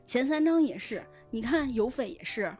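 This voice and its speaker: A woman speaking as a podcast guest in casual chat, explaining things in an animated way with frequent check-in questions. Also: fairly high pitch, moderate pace, soft background music. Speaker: guest, novel recommender and editor.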